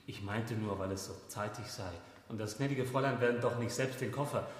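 Echoey, servile hotel porter, played by an actor. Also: male voice, lowish voice, brisk, lightly acted. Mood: deferential. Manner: formal, servile. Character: obsequious, watchful hotel night porter. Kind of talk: German radio play acting. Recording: very echoey, reverberant hall.

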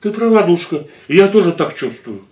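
Radio play: weary consoling man, husky, lively melodic delivery, quiet and reflective. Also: sits high, moderate pace, full character voice. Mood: gentle, reflective. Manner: soft, reflective, calls her 'darling' tenderly. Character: weary worldly middle-aged man, gentle, consoling. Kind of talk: studio radio drama acting.

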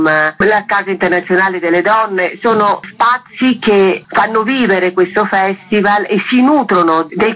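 A woman's voice: fairly low pitch, fairly bright tone, poor audio. A woman speaking earnestly and articulately as an interview guest, presenting a festival as its artistic director. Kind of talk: radio interview, promotional talk.

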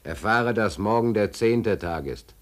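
A stateless drifter in a German radio play. His voice is low and moves freely, yet the delivery stays dry and laconic, with music behind it. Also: unhurried. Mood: deadpan, laconic. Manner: laconic, deadpan. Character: wry, stateless male drifter recounting his prison days. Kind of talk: German radio play acting, dry first-person narration.

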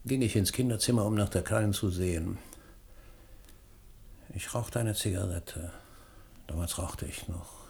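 A man's voice: sits low, vocal fry, a little hiss or hum, heavily acted. A man playing a guilt-ridden, grieving middle-aged academic in a German radio drama, intense and desperate in manner. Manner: intense, swings from outbursts to hushed confession.

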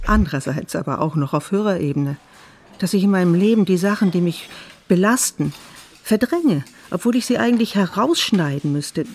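A woman's voice: on the low side, dark tone, a little hiss or hum, close to an everyday voice. A woman playing an earnest, self-absorbed art therapist and patient who lectures insistently in an audio drama. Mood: insistent, solemn. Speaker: actor in a drama. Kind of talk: dialogue acting in an audio drama.

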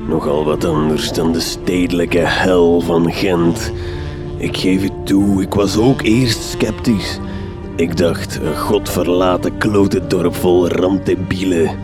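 An actor with a Flemish accent playing a gruff, foul-mouthed, blustering detective in a radio drama. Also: male voice, sits low, fairly even intonation, takes it slowly, over music. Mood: gruff enthusiasm.

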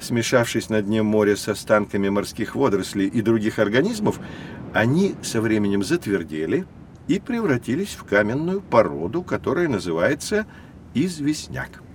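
An actor playing a lively, patient professor who explains things expressively to children in an educational audio drama. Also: male voice, fairly low pitch, moderate pace, slight background noise. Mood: engaged, teaching.

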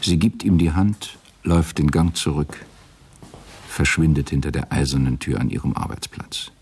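A man narrating a literary radio drama in a measured, sombre way, with a gravelly, husky voice. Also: a bass voice, darkish tone, slight background noise.

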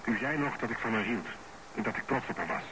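A man's voice: mid-range voice, animated, a little fast, natural voice. An actor playing an uneasy, insecure middle-aged businessman husband, hesitant and earnest, in a radio drama. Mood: anxious, pleading. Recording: very noisy radio drama recording.

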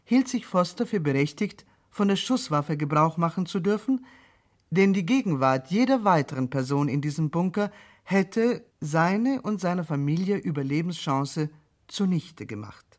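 An announcer narrating a radio play recap in a measured, formal manner. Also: mid-range voice, expressive, lightly acted. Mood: formal, factual.

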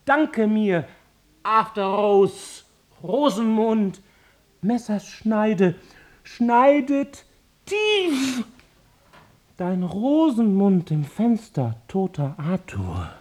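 A woman's voice: medium pitch, big pitch swings, very slow, darkish tone, heavily acted. An actress playing a menacing visionary woman in a poetic radio drama, chanting in short, incantatory phrases. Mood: feverish, ominous.

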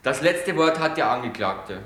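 A man's voice: medium pitch, expressive, moderate pace, fairly bright tone, natural voice. A man playing a pompous, stern judge in a satirical radio play, commanding and mocking. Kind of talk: 1980s amateur radio play. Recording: roomy echo, faint hiss.

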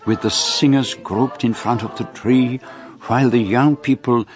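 An actor playing a courteous, observant foreign gentleman detective in a radio drama, speaking in a precise, formal manner. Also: mid-range voice, steady tone, unhurried, soft background music, lightly acted. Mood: observant, narrating. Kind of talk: radio drama acting and narration.

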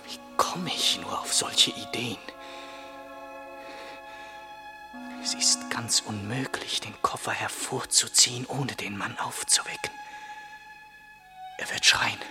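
A man's voice: mid-range voice, wildly swooping pitch, measured pace, long pauses, over music. An actor in a German radio play voicing a tormented young man tempted to murder, in an intense inner monologue that moves between whispers and agitated outbursts. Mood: anxious, torn.

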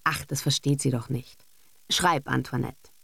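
A woman's voice: rumbling low, fairly even intonation, a little slow, vocal fry, a bit bright, slightly rough, no room sound, exaggerated voice. A woman voicing a self-assured, cynical businessman and father, bossy, dismissive and sardonic, in a literary audiobook reading. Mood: brisk, bossy. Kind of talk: literary audiobook character acting.

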